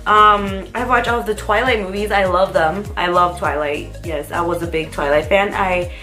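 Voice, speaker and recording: A woman's voice: medium pitch, normal speed, sharp tone, soft background music. A young American woman chatting casually through a vlog tag video, rambling and giggling as she answers questions. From United States. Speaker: vlogger.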